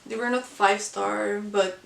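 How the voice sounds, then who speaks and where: A woman who is not a native English speaker, chatting casually about books as a vlogger in a halting, hesitant way. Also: mid-range voice, steady tone, gravelly. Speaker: book vlogger. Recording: roomy, distant mic with hiss.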